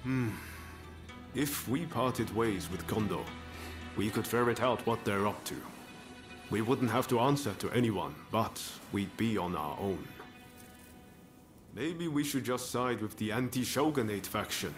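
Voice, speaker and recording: A man's voice: on the low side, lively intonation, a little slow, faint music behind. An actor playing an earnest, thoughtful young samurai swordsman in a video game cutscene.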